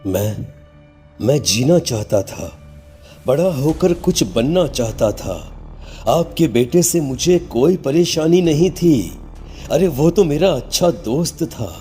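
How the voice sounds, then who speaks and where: Man voicing a slow, mellow, sorrowful boy ghost. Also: on the high side, expressive, faint music behind. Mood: mournful. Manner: mournful, reproachful. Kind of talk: horror cartoon voice acting.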